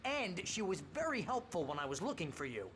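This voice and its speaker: A man playing a fast-talking, nervy, exasperated best friend in a video game, wisecracking and pleading dramatically. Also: high-pitched, very animated, background noise.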